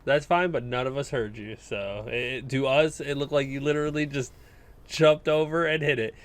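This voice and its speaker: A man speaks in a casual, conversational way, as a co-host would on a gaming podcast. His pitch sits in an ordinary middle range for a man. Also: steady tone, moderate pace.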